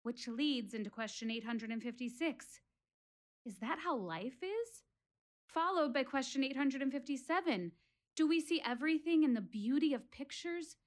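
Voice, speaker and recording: A high, expressive voice of an actress playing a reflective woman alone on stage. She confides in her audience as she ponders life, wistful and deliberate in a theatrical audio play. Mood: musing. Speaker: actress in a one-woman show. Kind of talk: theatrical dramatic monologue addressed to an audience.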